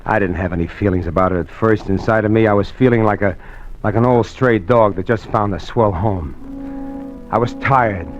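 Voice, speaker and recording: A man's voice: lowish voice, normal speed, lightly acted. Slangy young male hustler, played by a man, uneducated tough-guy narrator for vintage radio noir; muffled recording with some music. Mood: brooding. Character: rough, uneducated young male hustler telling his crime story. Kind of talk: 1940s radio acting and first-person narration.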